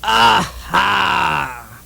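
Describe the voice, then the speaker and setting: High, gravelly, fussy puppet holy man. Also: big pitch swings, extremely slow, bright, forceful tone, no echo, exaggerated voice. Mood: scandalized, scolding. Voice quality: raspy, creaky. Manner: insistent, exclaiming, repetitive, sanctimonious. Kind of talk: theatrical puppet show acting.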